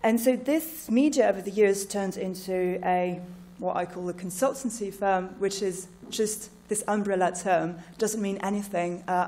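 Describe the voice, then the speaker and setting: A woman's voice: medium pitch, warm tone. A French woman in her thirties, speaking English with a partly British-tinged accent, presenting her research project informally with many fillers and "like". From France.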